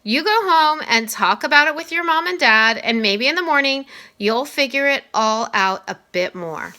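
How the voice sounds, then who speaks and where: A woman voicing a kindly middle-aged male school principal in a children's storybook, speaking hesitantly and gently but firmly. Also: sits high, expressive, normal speed, voice pushed up. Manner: hesitant, explanatory, gently firm. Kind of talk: children's novel read aloud.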